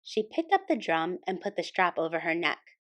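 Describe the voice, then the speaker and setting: A woman hosting a storytime and reading a children's picture book aloud as expressive scripted narration. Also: fairly low pitch, normal speed. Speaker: storytime host and reader.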